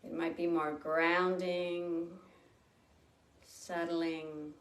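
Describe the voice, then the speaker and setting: Slow, soft-spoken woman guiding a breathing meditation. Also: on the low side, flat delivery, far from the mic. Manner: slow, gentle, with long pauses. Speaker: meditation teacher. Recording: roomy sound from off the mic.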